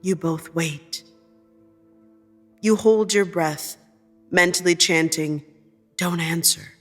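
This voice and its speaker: Woman narrating fiction over music, measured. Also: on the low side. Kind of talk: scripted second-person fiction narration.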